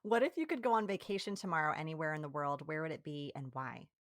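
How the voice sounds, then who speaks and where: A woman's voice: deep voice, no room sound, studio quality. A woman hosting a podcast, friendly and upbeat, whose first language was Italian. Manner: friendly, upbeat, quick to laugh. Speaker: podcast host. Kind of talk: casual interview hosting.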